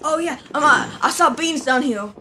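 Comedy dub: muddled survivor sidekick, voiced by a woman, fairly high, hesitant, faint noise behind. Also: expressive, normal speed, bright, forceful tone, exaggerated voice. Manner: hesitant, flustered, stammering. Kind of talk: improvised comedy game dub.

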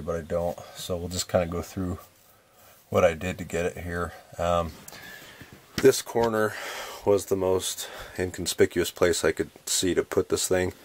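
Deep-voiced man with a rambling DIY walkthrough. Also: slight background noise. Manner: rambling, offhand, many ums and uhs. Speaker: RV owner showing his solar setup. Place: inside an RV, small room.

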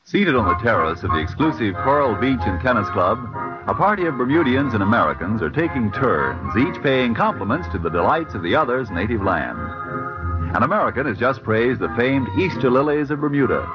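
A man's voice: mid-range voice, very animated, fairly quick. A man announcing a scripted 1940s radio commercial in a polished, formal selling manner. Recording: muffled vintage 1940s radio recording. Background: music.

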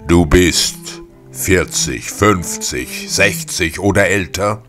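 A middle-aged man with a husky voice hosts a podcast monologue, chatting informally and giving listeners direct advice. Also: deep voice, slow pace, fairly bright tone, soft background music. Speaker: podcast host. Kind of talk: casual spoken podcast monologue addressed to listeners.

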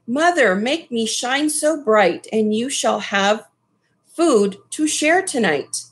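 A jaunty magic wok from a storybook, played by a woman reading aloud, chants its boasts in sing-song rhyme. The voice sits high and swings up and down freely. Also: lively intonation, slow. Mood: cajoling.

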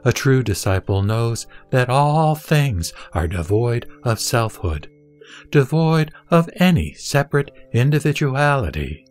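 A man playing the Buddha as a serene teacher, reciting scripture in a measured, didactic, emphatic way. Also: mid-range voice, very animated, faint music behind. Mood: calm, instructive. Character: the Buddha, serene male spiritual teacher instructing a disciple. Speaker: sutra audio drama reader.

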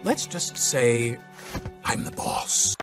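A man playing a bossy, smug baby businessman in an animated film, talking in a dry, deliberate, self-important way. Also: medium pitch. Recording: film clip with music behind.

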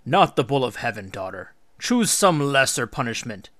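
A man's voice: mid-range voice, very animated, a bit bright, dry recording. Man voicing a dignified, reproving father god. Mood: pleading. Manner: questioning, measured admonishing.

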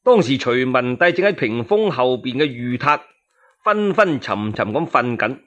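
Lively Cantonese male storyteller. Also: mid-range voice, a little slow, lo-fi sound. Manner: dramatic, expressive. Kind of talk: Cantonese traditional solo storytelling, historical radio drama narration.

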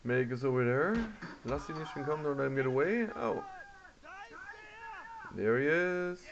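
Male gamer, muffled noisy home recording, unhurried halting commentary, mid-range voice. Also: mid-range voice. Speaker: video game player. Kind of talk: casual gameplay commentary.

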